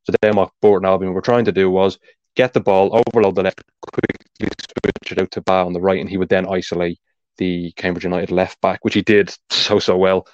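An Irish man with a low, deep voice hosts a casual football podcast. He talks quickly and explains tactics at length. The recording is dry and a little dull, like a home podcast mic. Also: level tone, darkish tone, no room sound. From Ireland. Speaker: podcast host.

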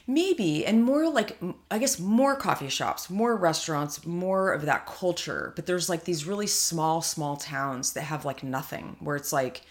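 Low-voiced woman chatting with lots of fillers. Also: expressive, unhurried, few pauses. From California. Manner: rambling, thinks aloud with many fillers. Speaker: podcast co-host. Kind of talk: casual chat.

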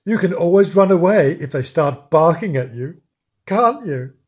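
A woman's voice: fairly low pitch, animated, measured pace, a little mellow. A woman voicing a sly male animal trickster in a storybook, mock-solemn and emphatic in giving warnings. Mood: sly teasing.

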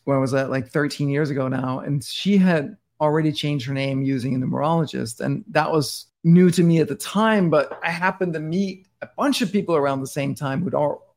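A man's voice: on the high side, a little fast, few pauses, a little mellow. A middle-aged man from Denmark, a podcast guest talking casually and intensely, restarting his sentences often. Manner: intense, rambling, many restarts and "like". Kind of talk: casual interview talk.

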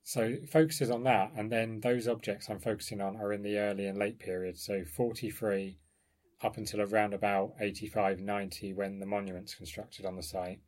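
A man's voice: lowish voice, level tone, fairly quick, a little mellow. A man talking as an archaeologist in an informal academic discussion, explaining his research in a discursive, carefully qualified way.

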